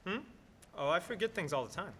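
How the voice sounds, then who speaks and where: A man's voice: sits high, lively intonation, fairly quick, bright, forceful tone. A man playing a flustered, forgetful old uncle in an old-time radio play. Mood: absent-minded. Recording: noisy, reverberant stage recording.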